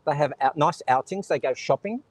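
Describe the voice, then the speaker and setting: A man's voice: fairly high pitch, brisk, no echo. A man with an Australian accent hosting a casual conversation, joking, laughing often and reading out viewer comments. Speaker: host. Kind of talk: casual chat.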